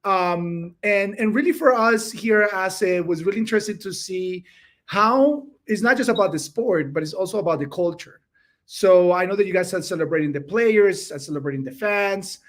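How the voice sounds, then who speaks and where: High-voiced chatty male host. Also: normal speed, a bit bright. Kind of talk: casual interview hosting.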